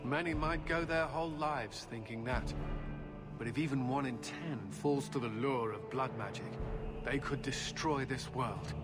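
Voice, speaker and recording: A grave, formal military commander of middling pitch acted by a man in a fantasy game. He speaks with measured authority while very loud music plays behind him. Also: natural voice. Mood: stern warning. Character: stern, formal, authoritative male knight commander. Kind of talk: cinematic fantasy game acting.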